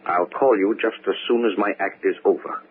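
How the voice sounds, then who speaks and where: Man playing serious mentalist sleuth, deep voice, takes charge, old 40s radio mystery, muffled thin sound. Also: fairly even intonation, brisk, lightly acted. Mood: serious, decisive. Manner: probing, authoritative. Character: serious, decisive male nightclub mentalist turned investigator. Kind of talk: 1940s radio mystery acting. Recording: faintly noisy, narrow old radio broadcast.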